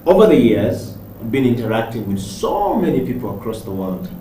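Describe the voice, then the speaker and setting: A man's voice: medium pitch, very animated, mellow. Reflective male talk show host. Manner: reflective, measured, with pauses. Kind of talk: studio interview. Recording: roomy, distant mic with faint noise.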